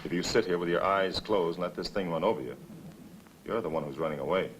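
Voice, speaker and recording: An actor in a 1960s film drama playing a blunt, pragmatic survivor who speaks firmly. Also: male voice, deep voice, fast, close to an everyday voice. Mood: grim, insistent. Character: blunt, pragmatic male survivor urging escape. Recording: noisy old 1960s film soundtrack.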